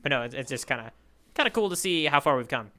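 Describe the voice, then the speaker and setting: Bright, clear-voiced enthusiastic man chatting. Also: medium pitch, animated, fast talker. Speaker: podcast co-host, the newcomer reader. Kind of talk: casual chat about a manga.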